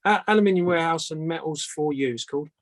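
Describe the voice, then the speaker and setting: A man's voice: a little high, fast talker, no echo, clean studio sound. A man who makes antennas, answering questions casually as a guest in a plain-spoken, hesitant way. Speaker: antenna maker, guest speaker.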